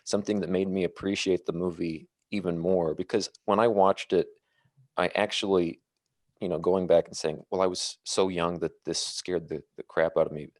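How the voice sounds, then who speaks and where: A man with a very low voice, recorded dry and close, talks through movies as a podcast panelist. He is measured and thoughtful, often pausing to find his next phrase. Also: fairly even intonation, dry recording. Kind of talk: casual film discussion.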